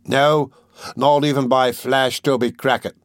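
A man voicing a surly, short-tempered burglar in an audiobook, blunt and scornful, with rough slang. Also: medium pitch, level tone, a little slow, no echo, studio quality, close to an everyday voice. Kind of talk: audiobook character voice.

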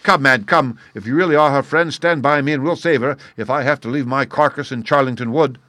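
A man voicing a desperate bearded young man who shouts in raised, urgent bursts. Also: medium pitch, brisk, no echo, pitched above the performer's own voice, lightly acted.

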